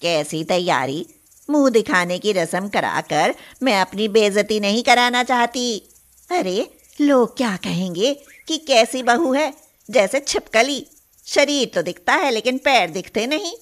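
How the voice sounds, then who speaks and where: A woman voicing a scornful, sharp-tongued older mother-in-law in an animated story. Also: fairly high pitch, a little slow. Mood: disapproving.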